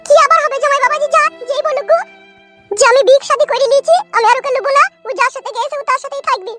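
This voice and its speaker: A woman's voice: very high-pitched, fast, loud music behind the voice. A performer voicing a bossy, scheming older village aunt in a cartoon who lectures her son-in-law. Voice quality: bossy, scolding.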